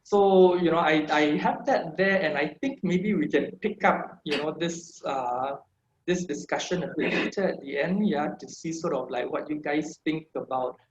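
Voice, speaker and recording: A man's voice: a little high, normal speed. Singapore-raised male presenter on a video call, casual and rambling, roomy muffled sound. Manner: chatty, many fillers and tag questions. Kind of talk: online talk with slides. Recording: echoey room, dull lo-fi video call audio.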